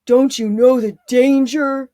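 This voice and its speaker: A woman voicing gruff, bossy male town guards who bark loud demands. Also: high voice, steady tone, slow, dry recording, clean studio sound.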